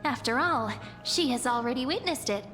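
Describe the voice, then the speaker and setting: A woman's voice: sits high, animated, normal speed, long reverb tail, music in the background. An actress playing a polite young female companion in a fantasy game, composed and lightly teasing. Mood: composed, playful. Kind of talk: scripted game voice acting.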